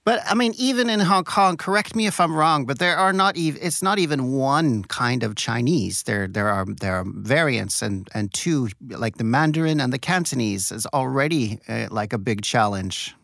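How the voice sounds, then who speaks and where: A man who is not a native English speaker hosts a podcast, giving a scripted intro and then asking questions in a measured, thoughtful way. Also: mid-range voice, expressive, gravelly, a bit bright, no echo, clean studio sound. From Belgium. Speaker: podcast host.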